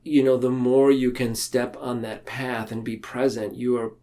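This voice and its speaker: A man's voice: medium pitch, completely flat delivery, a little slow, dark tone. Rambling, earnest man in conversation. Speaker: podcast guest, mental health counselor. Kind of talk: reflective conversational interview talk.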